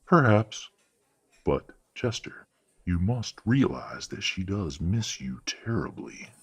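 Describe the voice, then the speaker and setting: A stern werewolf patriarch, acted by a man for an audio drama. His voice is extremely low and husky. He speaks formally and with measured authority, a courteous father set on tradition. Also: big pitch swings, full character voice. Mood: measured, insistent. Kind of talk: audio roleplay drama acting.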